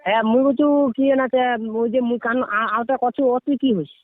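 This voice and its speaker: A young man with a North Bengal accent argues casually on a call. His pitch is strikingly high and his words come quickly. The line is thin and tinny. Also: no echo. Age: teens to young adult. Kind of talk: casual, argumentative phone chat. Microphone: phone call. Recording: tinny phone-line sound, dry.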